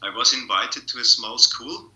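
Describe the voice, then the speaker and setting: A man from Austria, an artist, reminiscing over a video call, speaking haltingly with many restarts and fillers. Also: mid-range voice, pushed and bright, echoey room, rough recording.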